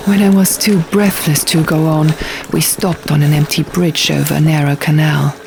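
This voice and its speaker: A woman reading fiction as a dramatic audiobook narration, with measured, deliberate phrasing. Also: deep voice, level tone, vocal fry, background noise. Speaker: audiobook reader. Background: music.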